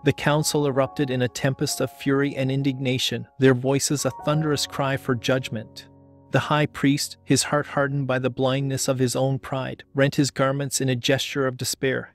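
A man reading a scripted homily as solemn, measured dramatic narration. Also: medium pitch. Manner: measured, solemn storytelling delivery. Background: background music.

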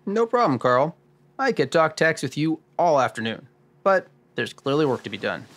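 A man's voice: fairly high pitch, sing-song, normal speed, fairly bright tone, slight background noise. A man playing an earnest, wry detective narrator in an old-time noir radio drama. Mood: earnest, unruffled. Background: music under the voice.

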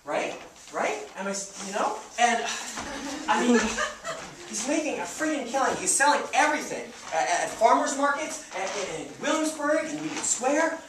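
An actor playing a young, idealistic, broke farmer on stage, pleading and stammering in slangy, insistent speech. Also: male voice, extremely high pitch, sing-song, normal speed. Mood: excited persuading. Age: young adult. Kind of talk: stage play acting. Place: indoor theater stage. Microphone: far camera. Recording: echoey theater stage, distant noisy camera mic.

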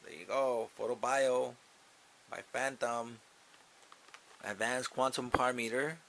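A man's voice: medium pitch, steady tone, a little slow, gravelly, a bit bright, a little hiss or hum. A young man casually talking through an unboxing in a small grow tent, upbeat and repeating himself as he thinks aloud. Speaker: gardening channel host. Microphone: camera mounted above.

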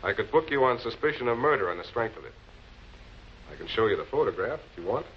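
Male prosecutor, vintage crime drama. Authoritative, deliberate delivery, dull noisy film audio. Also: on the low side, big pitch swings. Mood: accusing. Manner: measured, commanding, deliberate. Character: composed, authoritative male public prosecutor cornering murder suspects. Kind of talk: 1940s television crime drama acting. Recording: muffled, noisy old film soundtrack.

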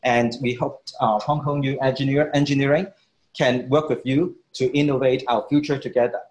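Man, middle-aged, professor host, friendly, halting, many fillers, studio, lapel mic. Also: medium pitch, steady tone, darkish tone. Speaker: professor hosting an admissions talk. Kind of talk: presentation and moderated panel. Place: studio, indoors.

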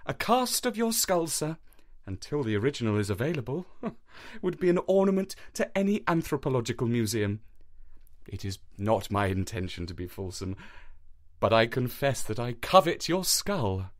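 A man voicing an eager, bumbling young country doctor who talks in an effusive, fussy way and stumbles over his words, with a higher voice than the narration. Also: big pitch swings, normal speed, natural voice. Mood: enthusiastic.

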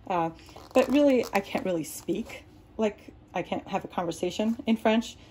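Woman, Arabic native speaker, hosting a home-studio painting tutorial; warm, easygoing, rambling delivery. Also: mid-range voice, moderate pace, a little hiss or hum, low-quality recording. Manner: rambling, many ums and restarts. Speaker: art tutorial host. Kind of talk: casual explaining while working. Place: home art studio, indoors.

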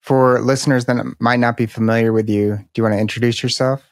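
Male host, mid-pitched, brief quick prompts, dry home studio sound. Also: steady tone, no room sound. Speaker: podcast host. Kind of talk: interview questions. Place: home studio with colored lights, indoors. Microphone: desk mic on arm.

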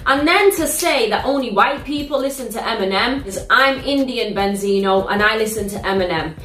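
A young woman with a British accent, hosting a reaction video in a living room, telling stories in an animated, slangy, exclamatory way. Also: on the high side, moderate pace. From United Kingdom. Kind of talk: casual reaction vlog. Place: living room, sitting on a sofa. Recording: roomy living-room echo.

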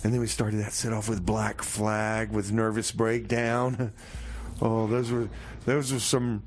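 A man's voice: lowish voice, level tone, a little slow, a little hiss or hum. A man hosting a music show on the radio, chatting casually and rambling with slangy, laid-back asides.

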